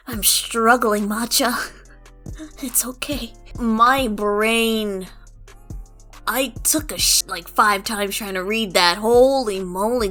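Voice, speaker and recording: A woman's voice: a little high, fairly bright tone. A woman doing goofy voice acting outtakes, playful and self-deprecating, talking to herself and stumbling over lines, with music behind her. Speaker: voice actor recording roleplay audio. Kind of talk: goofy outtakes, reading takes and muttering to self.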